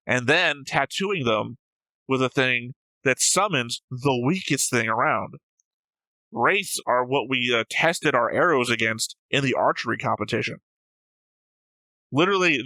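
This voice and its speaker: Male co-host for casual analytical talk. Crisp-edged bright tone, close dry sound, methodical explainer who argues each point. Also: mid-range voice, dry recording, poor audio. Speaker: reaction show co-host.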